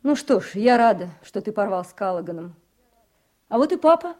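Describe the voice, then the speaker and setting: An actress playing a judge's frank, concerned adult daughter in a radio play, questioning and direct. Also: female voice, mid-range voice, big pitch swings, brisk, dark tone, natural voice. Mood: relieved.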